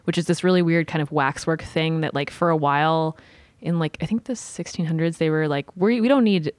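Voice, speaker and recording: A woman's voice: low voice, brisk, no echo. A woman co-hosting a podcast in a casual, opinionated way, peppering her talk with "like". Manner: informal and opinionated, peppers speech with "like". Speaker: podcast co-host. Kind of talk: casual art chat.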